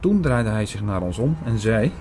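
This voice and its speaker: A man playing an earnest priest, narrating an audio drama in a clear storytelling manner. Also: lowish voice, very animated, normal speed, darkish tone, soft background music. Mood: earnest, watchful. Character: earnest male priest recounting his investigation.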